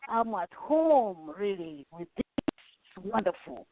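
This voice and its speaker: A woman hosting an interview, effusive and gushing, with halting phrasing. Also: mid-range voice, sing-song, slight background noise. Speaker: interviewer, host. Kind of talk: interview over a call. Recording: dry tinny phone-like line.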